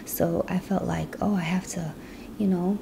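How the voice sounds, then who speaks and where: A woman's voice: on the low side, fairly even intonation, measured pace, vocal fry, background noise. A woman from the US Midwest telling her personal story in a chatty vlog, with lots of "you know". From Chicago, US Midwest. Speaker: vlogger.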